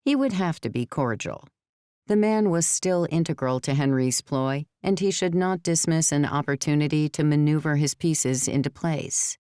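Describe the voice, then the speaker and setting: A woman's voice: low-pitched, normal speed, dark tone, smooth, dry recording, clean studio sound. A woman reading scripted novel narration as an audiobook narrator.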